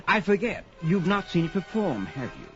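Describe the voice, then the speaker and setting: A scheming, greedy viceroy voiced by a man for a fantasy radio play. He is suave and patronising, with a fairly high voice that rises and falls freely. The sound is slightly muffled. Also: expressive, moderate pace, a bit bright. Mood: boastful. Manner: suave, condescending. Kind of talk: pulp fantasy audio drama acting. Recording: dull lo-fi, faint music.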